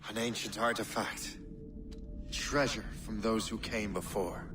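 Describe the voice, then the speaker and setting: An actor playing an earnest, questioning young assassin in a video game. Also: male voice, lowish voice, steady tone, a little slow, background noise, lightly acted. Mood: grim. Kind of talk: dramatic video game acting.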